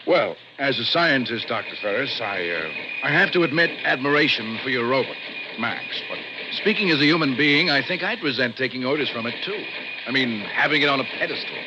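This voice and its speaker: A polite young scientist from a vintage radio play, played by a man. He speaks in a measured, earnest way at medium pitch, on a muffled recording with clear hiss. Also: animated, natural voice. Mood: earnest, reserved. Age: young adult. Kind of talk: 1960s radio drama acting.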